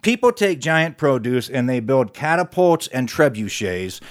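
A man telling a tall tale live in a folksy, conversational way, with animated asides to his listeners. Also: mid-range voice, unhurried. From West Virginia, United States. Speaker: storyteller. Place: recorded live in a studio.

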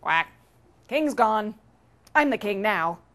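A woman's voice: mid-range voice, expressive, slow, a bit bright. A woman voicing a plucky storybook duck who quacks insistently, chants in repeats and panics easily. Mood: bold. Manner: exclamatory, repetitive quacking and chanting.

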